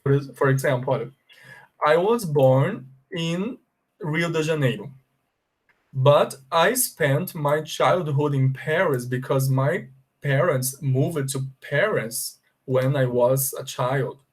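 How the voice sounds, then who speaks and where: A man with a Brazilian Portuguese accent, an English teacher explaining a lesson slowly and checking in often with his students. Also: on the high side, husky, poor audio. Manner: slow, deliberate explaining with frequent check-ins. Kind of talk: bilingual language lesson.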